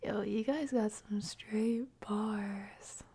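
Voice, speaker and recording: A woman hosting an ASMR stream, chatting casually with viewers in a soft, often whispered voice. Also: mid-range voice, steady tone, very slow, vocal fry, slightly rough. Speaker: ASMR livestream host.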